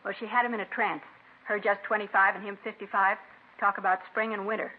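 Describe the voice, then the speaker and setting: Actress as defiant female suspect, 1950s radio crime drama. Curt, indignant, rapid delivery, thin vintage sound. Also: medium pitch, level tone, husky, lightly acted. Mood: bitter. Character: defiant, sharp-tongued woman murder suspect. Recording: tinny vintage radio recording.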